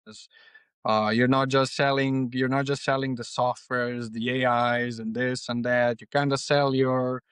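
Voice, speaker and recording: A man's voice: medium pitch, flat delivery, unhurried, fairly bright tone, clean tone, no room sound, clean studio sound. A man from Serbia with a Serbian accent, speaking English as a second language, answering conversationally as a podcast guest. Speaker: podcast guest, freight agent.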